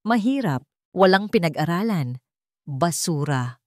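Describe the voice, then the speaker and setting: A woman's voice: on the low side, expressive, unhurried, dry recording, studio quality. Woman voicing a stern, domineering old patriarch. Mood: defiant, scornful. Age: older. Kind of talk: dramatic Tagalog audio story.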